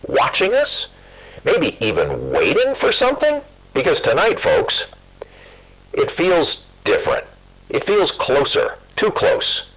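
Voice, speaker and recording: A man's voice: a little high, fairly bright tone, breathy edge, highs cut off, full character voice. A man playing a brooding, consoling late-night radio host, speaking with intimate, dramatic phrasing.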